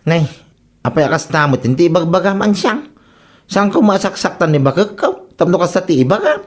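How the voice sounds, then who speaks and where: Guy acting scheming excitable husband, ilocano radio comedy, voice swings up and down a lot. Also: high-pitched, fairly quick. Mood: defensive. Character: excitable husband scheming to father a son for inheritance.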